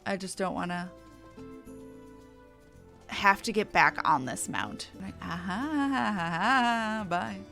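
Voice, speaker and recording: A woman's voice: mid-range voice, expressive, slow pace, creaky, pauses often, pushed and bright, soft background music. A woman game streamer giving casual, playful commentary as she plays.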